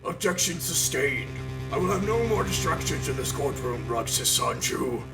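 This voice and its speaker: A man's voice: fairly high pitch, lively intonation, moderate pace, creaky, far from the mic, over music. A man voicing a stern, ceremonious judge who speaks formally in a video game parody skit. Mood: solemn, stern. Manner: formal, pronouncing.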